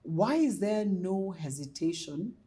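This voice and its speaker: A woman's voice: fairly low pitch, lively intonation, takes it slowly, dark tone. A woman from Kenya hosting a podcast, chatty and playful as she asks questions. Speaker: podcast host. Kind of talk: casual interview.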